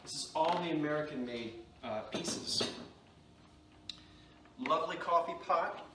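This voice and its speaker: A man's voice: a little high, unhurried, noisy background. A man with a New Jersey accent, casually showing and describing antique dishes in a chatty, self-correcting way. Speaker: antiques seller. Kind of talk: casual show-and-tell vlog.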